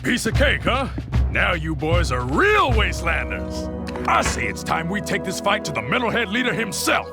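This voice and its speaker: Wasteland tough guy: brash and hearty game warrior, raised pitch, hugely animated, over loud music. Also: normal speed, exaggerated voice. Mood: triumphant. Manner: swaggering, emphatic, slangy. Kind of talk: action video game acting.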